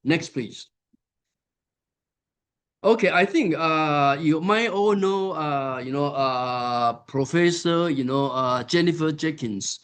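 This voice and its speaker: A man delivers an academic conference talk at a slow, careful pace. His voice sits a little high for a man, and he often pauses with fillers as he explains. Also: fairly bright tone, clear, resonant tone. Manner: unhurried, many fillers and pauses. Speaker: conference keynote speaker. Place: conference hall.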